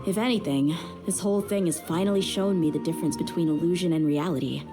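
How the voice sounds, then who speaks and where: Woman acting young female mercenary, lowish voice, candid, reflective, game dialogue over music. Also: quick pace, natural voice. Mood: reassuring, candid. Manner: frank, reflective.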